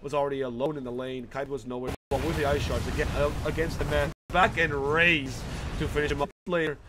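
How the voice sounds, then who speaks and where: A man's voice: a little high, fairly even intonation, noisy background. Man casting a video game match solo. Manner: rapid, self-correcting, restarts mid-phrase. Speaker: esports commentator. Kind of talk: solo play-by-play game commentary. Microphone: desk microphone.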